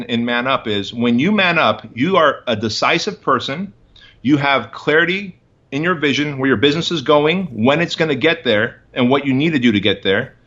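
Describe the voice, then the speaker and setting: A man's voice: medium pitch, pushed and bright, lo-fi sound. A man in his forties, a guest in an interview, telling his story in emphatic, punchy short phrases. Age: 40s.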